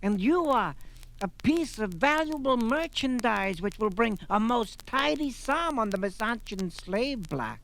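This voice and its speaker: An actor playing a small, shriveled, scheming desert slave trader in a 1970s audio drama, with an oily, theatrical manner. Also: male voice, very high voice, big pitch swings, unhurried, creaky, fairly bright tone, slight background noise, exaggerated voice, rough recording. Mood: smug, oily.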